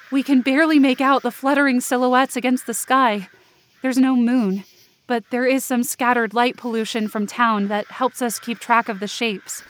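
Actress as anxious young woman, high voice, tense first-person narration, fiction podcast drama. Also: lightly acted. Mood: tense suspense. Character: anxious young woman narrating her frightening night hike.

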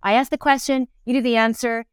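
A woman's voice: a little high, little pitch movement, brisk, clean tone, no room sound, clean studio sound. A middle-aged woman, an actor, chatting casually as an interview guest and thinking aloud as she goes.

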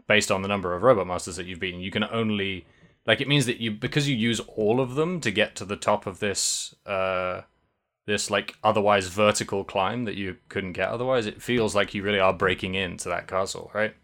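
A man's voice: lowish voice, steady tone, fairly quick, fairly bright tone. A young man co-hosting a podcast, chatting casually about a game in a rambling, hesitant way with many fillers. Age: young adult. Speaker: podcast co-host.

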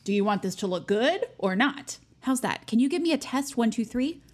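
A woman playing a pious, bossy high school girl in an audio drama, earnest and insistent. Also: lively intonation, moderate pace. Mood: bossy, impatient. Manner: earnest, insistent, self-righteous. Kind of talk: naturalistic audio drama acting.

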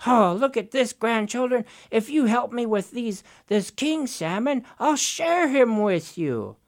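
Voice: medium pitch, lively intonation, a little slow, pitched above the performer's own voice. A storyteller voicing a scheming male raven trickster who stammers and wheedles. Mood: eager.

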